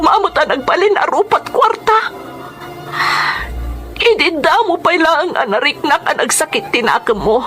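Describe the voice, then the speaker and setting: A woman's voice: high voice, sharp tone. A woman acting a hurt, dutiful daughter in an Ilocano radio drama, earnest and pleading, with an Ilocano accent. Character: hurt, dutiful adult daughter defending her honour. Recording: music under the voice.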